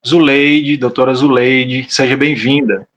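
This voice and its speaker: A man's voice: medium pitch, steady tone, unhurried, fairly bright tone. A man hosting a podcast, opening the episode and formally introducing the guest. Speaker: podcast host. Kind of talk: scripted show opening.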